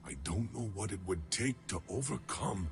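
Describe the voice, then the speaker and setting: A man's voice: on the low side, lively intonation, gravelly. A man voicing a loyal alien warrior in a game cutscene, solemn, formal and resolute yet mournful. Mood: solemn resolve. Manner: solemn, formal, measured phrasing. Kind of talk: dramatic game cutscene acting. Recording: loud music underneath.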